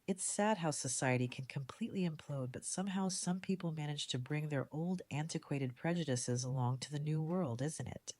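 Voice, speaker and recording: An actress playing a shrewd, composed female community leader in an audio drama, measured and articulate in manner. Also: deep voice, darkish tone, no echo. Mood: saddened. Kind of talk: fan audio drama acting.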